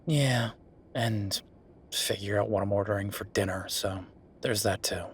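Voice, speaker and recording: A man playing a weary, wry younger werewolf boyfriend working late, speaking haltingly in an intimate roleplay audio drama. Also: steady tone, slight background noise. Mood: reassuring, resigned. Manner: low-key, sardonic, halting, sighing.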